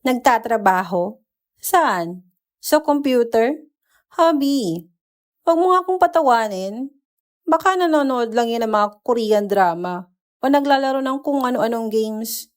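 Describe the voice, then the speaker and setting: A woman's voice: fairly high pitch, expressive, a little slow, long pauses, clean tone. A woman voicing a catty young wife who complains and mocks in a whiny way in a dramatized story. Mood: mocking. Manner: complaining, mocking, wheedling. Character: catty, whiny young wife belittling her sister-in-law.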